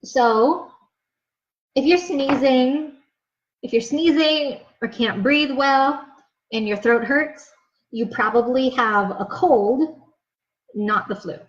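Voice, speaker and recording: A woman's voice: sits high, takes it slowly, long pauses. A young woman teaching an English lesson, explaining words patiently and checking in with "okay?" after each point. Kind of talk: slow, clear ESL lesson explanation. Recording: slightly dull, lo-fi sound.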